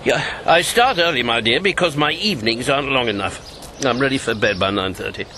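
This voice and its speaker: Jovial old rogue, very lively intonation. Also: male voice, medium pitch, brisk. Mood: flirtatious, wry. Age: 70s. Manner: chuckling, roguish, theatrical. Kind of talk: radio drama acting. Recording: radio drama with clear background noise.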